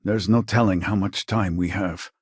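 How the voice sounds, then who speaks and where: An actor playing an earnest, exasperated scientist from a devout society in a sci-fi video game, speaking formally with a husky voice. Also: male voice, sits low, fairly even intonation, dry recording, clean studio sound. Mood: determined, solemn. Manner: formal, measured, articulate. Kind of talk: video game character dialogue.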